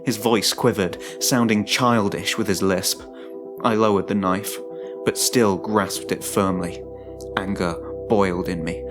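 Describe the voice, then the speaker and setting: A man giving a dramatic, suspenseful first-person narration of a horror story, in a deliberate manner. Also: on the low side, steady tone. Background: music.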